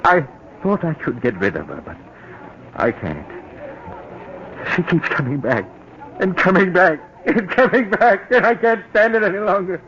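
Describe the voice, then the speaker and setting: An actor playing a nervous, guilt-ridden husband cracking under strain in a 1940s radio drama. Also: male voice, high-pitched, sing-song, normal speed, long pauses, fairly bright tone, exaggerated voice. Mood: frantic. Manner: nervous, increasingly frantic. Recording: dull old radio broadcast with faint hiss.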